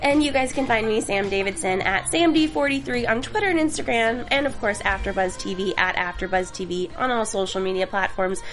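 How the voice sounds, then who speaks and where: A woman's voice: mid-range voice, brisk, few pauses, a bit bright, faint music behind. A woman hosting a casual TV after-show, a little congested from a cold, chatty and enthusiastic. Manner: chatty, enthusiastic, many fillers. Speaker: host.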